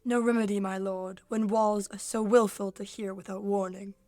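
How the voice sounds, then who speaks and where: A woman's voice: medium pitch, level tone, normal speed, dark tone, dry recording, natural voice. A young woman playing a witty courtier in a radio play of Shakespeare, teasing in formal banter.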